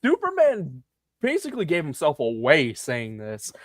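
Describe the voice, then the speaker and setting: Dude co-hosting a film review podcast, laughs a lot, voice bouncing all over, dry mic. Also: male voice, on the high side, moderate pace, no room sound. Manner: animated, laughs often, acts out quotes. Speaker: podcast co-host. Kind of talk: casual movie review chat.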